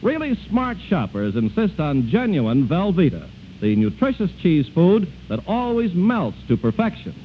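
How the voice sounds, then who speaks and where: Animated 1940s American radio pitchman. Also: unhurried. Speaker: radio commercial announcer. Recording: tinny, noisy 1940s radio broadcast.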